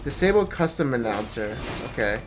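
Animated young man streaming a game. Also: mid-range voice, normal speed. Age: young adult. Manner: profane, blunt banter. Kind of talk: casual gaming chat. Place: indoor room at a desk. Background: music, game.

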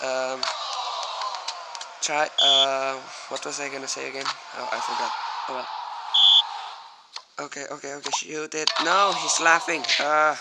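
A young man from Indonesia commentating a mobile soccer game at home, rambling and easily distracted, bursting out loud at big plays. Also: mid-range voice. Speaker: small gaming YouTuber. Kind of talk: casual mobile gameplay commentary. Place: at home with fireworks outside. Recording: loud background noise, clicks. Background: fireworks bangs from the neighborhood.

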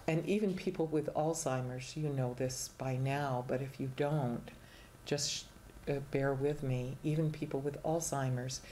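A woman's voice: a bass voice, mellow, a little hiss or hum. An older woman, a singing teacher, explaining at length in a casual chat in a small TV studio, with rambling restarts.

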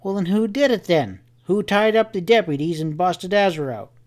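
A man voicing a stubborn, excitable cowboy in a Western audiobook, with a harsh rasp and a folksy drawl. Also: high voice, normal speed, pitched above the performer's own voice. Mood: shocked, demanding. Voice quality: harsh, rasping. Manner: rustic Western drawl, folksy bad grammar. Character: gruff cowboy friend Sam, stubborn, excitable, fiercely loyal.

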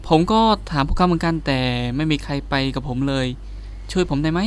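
A polite, shy young Thai man, played by an actor in a radio drama. He is a photographer. His speech runs very fast. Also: a little high, fairly even intonation, natural voice. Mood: polite, asking.

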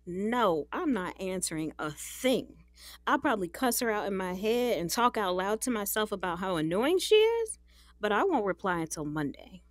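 A woman's voice: medium pitch, sing-song, normal speed, no room sound, close to an everyday voice. Dry-recorded, exasperated young woman played by an actress. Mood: playfully annoyed. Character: young working woman, exasperated, sardonic, guarded. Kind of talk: audio drama acting.

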